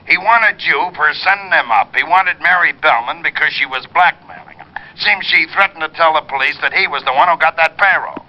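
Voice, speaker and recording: Casting: American crime-drama sidekick, very bright hard-edged voice, slightly high, needling, dull old radio sound. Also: male voice, fairly quick. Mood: matter-of-fact. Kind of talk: 1940s-50s radio acting. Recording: dull vintage radio recording.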